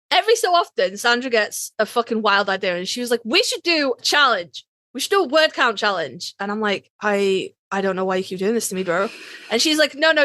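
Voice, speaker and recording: A woman's voice: mid-range voice, fairly bright tone, no echo. A woman co-hosting a podcast in casual, slangy chat, swearing freely and telling stories in quoted exchanges. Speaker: podcast co-host.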